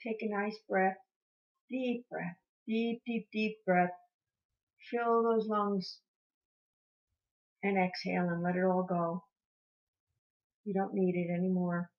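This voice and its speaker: A woman leads a guided meditation at a markedly slow pace. Her voice sits at a medium pitch, and she soothes and encourages as she repeats phrases. The recording sounds dull and lo-fi. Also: fairly even intonation. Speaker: meditation teacher.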